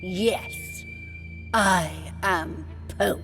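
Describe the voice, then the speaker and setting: A woman's voice: fairly low pitch, very slow. An actress playing a greedy, imperious fisherman's wife in a fairy tale audio drama, haughty and commanding. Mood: smug. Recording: long hall reverb, music behind.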